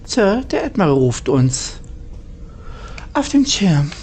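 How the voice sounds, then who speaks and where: Low, mellow-voiced German woman gamer. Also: very animated, takes it slowly, slight background noise. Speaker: gamer playing and reading aloud. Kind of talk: in-character reading of game text.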